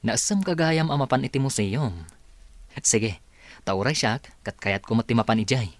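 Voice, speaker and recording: A man plays an easygoing young guy in conversational radio drama dialogue. The voice has a medium pitch. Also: expressive, normal speed, no echo, lightly acted. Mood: relaxed, friendly.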